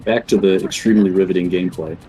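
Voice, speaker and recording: A man's voice: sits low, steady tone, fast, mellow, soft background music. A man giving casual live commentary as he speedruns a game. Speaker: speedrunner commentating own run.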